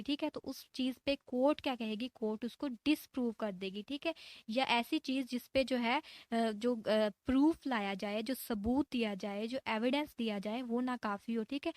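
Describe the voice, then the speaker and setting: Female law lecturer, higher-pitched, dry close sound; didactic, question-and-answer explaining style. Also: no echo. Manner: didactic, asks and answers own questions, frequent check-in tags. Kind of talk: explanatory lecture reading out legal definitions and explaining them.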